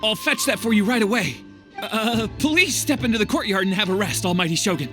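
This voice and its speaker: High-pitched, flustered game retainer. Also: male voice, expressive, brisk, music in the background. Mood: flustered, eager. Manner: flustered, stammering, servile politeness. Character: nervous, eager, deferential male retainer. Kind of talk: video game voice acting.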